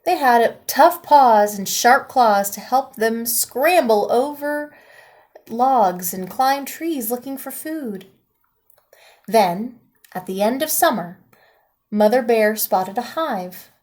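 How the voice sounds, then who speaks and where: A woman teacher reading a children's picture book aloud with slow, expressive storytime delivery. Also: on the high side.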